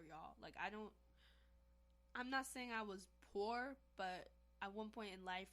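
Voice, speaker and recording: A young woman from Haiti co-hosting a podcast in casual, emphatic chat, often calling out to listeners. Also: mid-range voice. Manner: animated, emphatic, addresses listeners as y'all. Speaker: podcast co-host.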